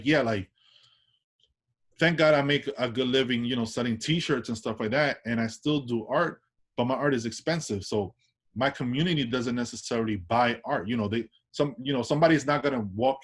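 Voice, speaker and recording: A man with a New York accent talks through an interview in an easy, rambling way. His voice sits at an ordinary pitch, and he keeps checking in with tag questions and starting sentences over. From Washington Heights, New York. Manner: loose, tag questions, many restarts. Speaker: artist guest. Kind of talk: casual interview chat.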